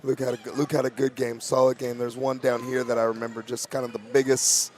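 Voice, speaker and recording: A man who coaches basketball, with a raspy voice, talking easily in a postgame interview in a school gym. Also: mid-range voice, level tone, quick pace, slight background noise. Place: high school gymnasium.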